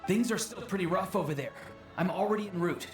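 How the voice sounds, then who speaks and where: A man's voice: high voice, quick pace. An actor voicing an earnest, resolute young male battle commander in a video game. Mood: focused. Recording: echoey, with game music behind.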